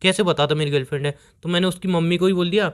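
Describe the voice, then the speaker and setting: A man's voice: high voice, steady tone, very fast, clean tone, close to an everyday voice. A young man playing an exasperated boyfriend who keeps pleading to be heard in a relationship argument. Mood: defensive. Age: young adult. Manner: placating, repetitive, whiny pleading.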